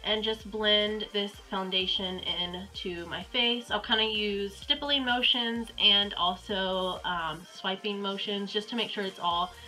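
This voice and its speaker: Very bright-voiced stuffy young woman. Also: medium pitch, fairly even intonation, measured pace, hardly any pauses, soft background music, poor audio. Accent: Southern US. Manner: chatty, rambling, with frequent ums and likes. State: stuffy and congested with a cold. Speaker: beauty vlogger. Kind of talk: casual makeup tutorial.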